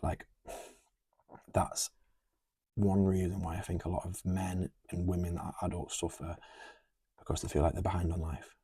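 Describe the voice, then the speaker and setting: Man in his 20s; very deep, mellow, husky voice, earnest and reflective. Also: flat delivery, moderate pace, no echo. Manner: earnest, musing, talks through lists. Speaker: podcast co-host. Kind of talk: casual heartfelt conversation.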